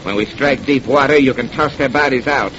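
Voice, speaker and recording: An actor playing a sly, mocking, commanding pirate captain in a theatrical old radio drama style, silky and sardonic. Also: male voice, mid-range voice, a little fast, a bit bright, natural voice. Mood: mocking, grand. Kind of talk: old-time radio horror drama acting. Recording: muffled, noisy old radio recording.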